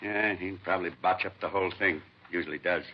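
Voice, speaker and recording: An actor playing a fussy, self-satisfied nightclub owner, chatty and offhand, in a 1950s radio crime drama. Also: male voice, sits low, fairly even intonation, fast talker, close to an everyday voice. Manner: chatty, offhand, breaking off into kitchen asides. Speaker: club owner being questioned. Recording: muffled, noisy vintage radio recording.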